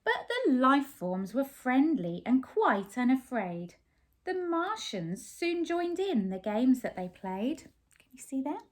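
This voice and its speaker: A woman's voice: sits high, big pitch swings, slow pace. A woman reading a picture book aloud expressively, putting simple questions and explanations to young children. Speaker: children's story reader.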